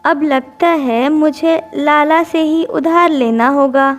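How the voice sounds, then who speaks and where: A woman's voice: high-pitched, takes it slowly, faint music behind. A woman voicing a humble, earnest mother bird in a children's cartoon, speaking politely and pleadingly. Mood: distressed. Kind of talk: Hindi children's cartoon dubbing.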